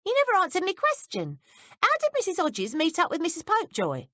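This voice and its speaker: Woman reads clever prying housemaid, high eager questions, close dry sound. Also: huge pitch swings, a little fast, sharp tone, no echo, exaggerated voice. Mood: probing. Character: nosy young housemaid, coy and clever.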